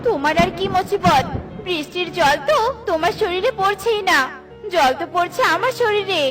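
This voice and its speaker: A woman's voice: very high-pitched, moderate pace, over music. A woman voicing female bird characters in a children's cartoon, exclaiming and complaining theatrically. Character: female cartoon birds, scolding crow wife and small bird neighbours.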